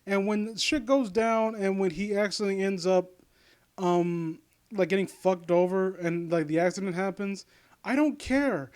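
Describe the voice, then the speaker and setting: A man's voice: high-pitched, a little slow, darkish tone, smooth, no room sound. A man reading and commenting in a casual, sardonic, informal way, often stumbling over his words. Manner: sardonic, mock-supportive asides, informal, stumbling over read lines. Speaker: host of a critique series reading a story aloud and commenting. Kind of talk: casual reaction commentary mixed with story reading.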